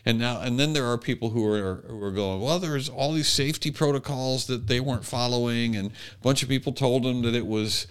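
A man in casual podcast conversation as a co-host, with a wry, halting way of thinking aloud. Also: mid-range voice, a bit bright, clean tone.

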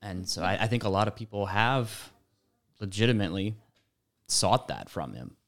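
A man taking part in a casual discussion, offering his view in a measured, slightly tentative way. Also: on the low side, sing-song, a bit bright. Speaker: podcast participant.

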